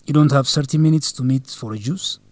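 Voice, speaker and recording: A man's voice: medium pitch, fairly quick, darkish tone, no room sound, natural voice. Man playing an earnest, jealous young student boyfriend. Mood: hurt, reproachful. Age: young adult. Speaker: actor in an educational radio drama. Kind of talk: radio drama dialogue.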